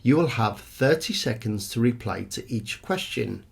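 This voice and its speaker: A man's voice: mid-range voice, lively intonation, measured pace, vocal fry, breathy edge. A man reading scripted exam questions and instructions as an examiner.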